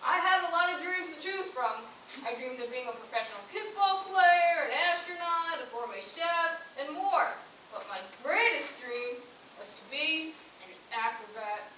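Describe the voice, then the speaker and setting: Woman playing an eager high-voiced dog, very echoey. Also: lively intonation, normal speed. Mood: wry, wistful. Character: odd-looking, eager young male pet dog, proudly telling his adventures. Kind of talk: first-person storytelling in a children's puppet show. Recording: echoey room, distant mic, dull, slight hiss.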